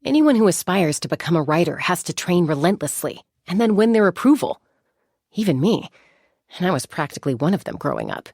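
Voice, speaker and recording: An actress playing a composed, confident young warrior woman in a video game, speaking in a measured, articulate and reflective way. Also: on the low side, wildly swooping pitch, a little mellow, dry recording, studio quality, exaggerated voice. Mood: firm, earnest. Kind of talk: scripted game character monologue.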